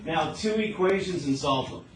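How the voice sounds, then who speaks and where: A man's voice: on the high side, normal speed, slight background noise, low-quality recording. A man teaching a class as a math teacher, explaining step by step and often asking questions to check understanding. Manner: asks frequent checking questions.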